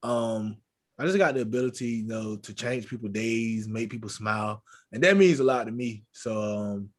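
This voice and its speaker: A man's voice: on the low side, normal speed. A man in his twenties, co-hosting a casual podcast, talking in an easygoing, reflective way full of "you know". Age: 20s.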